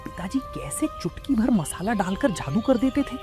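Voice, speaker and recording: Young guy doing earnest respectful son, cartoon dub, lively pitch swings, music in back. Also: normal speed. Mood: anxious. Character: dutiful, earnest young son helping at food stall. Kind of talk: cartoon story dialogue. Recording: music under the voice.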